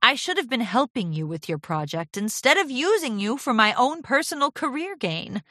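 A woman's voice: fairly high pitch, very animated, normal speed, sharp tone, no echo, studio quality, pitched above the performer's own voice, heavily acted. A woman voicing a glamorous, self-assured female pony fashion designer in a storybook, with a grand, affected, dramatic manner. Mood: contrite.

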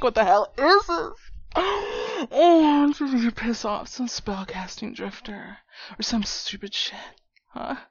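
A woman playing a foul-mouthed, hot-tempered but protective young friend in a one-sided audio roleplay drama, with a husky, breathy voice and slangy, direct delivery. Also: a little high, dry recording, muffled recording. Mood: shocked, panicked. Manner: slangy, profane, talking directly to a buddy.

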